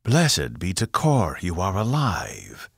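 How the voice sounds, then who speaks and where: A man's voice: deep voice, wildly swooping pitch, slow pace, creaky, slightly rough, no room sound, clean studio sound, voice pushed up, exaggerated voice. A man voicing a wary, imperious female alien priestess who speaks sharply and formally. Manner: sharp, commanding, archaic.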